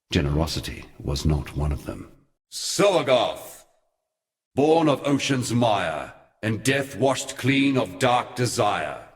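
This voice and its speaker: A man playing an ancient, sardonic god in a video game, telling dark lore in a measured, theatrical and eloquent way with a weighty voice. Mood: commanding. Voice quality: very deep, weighty voice. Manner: measured, theatrical, wry storytelling. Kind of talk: scripted video game character monologue.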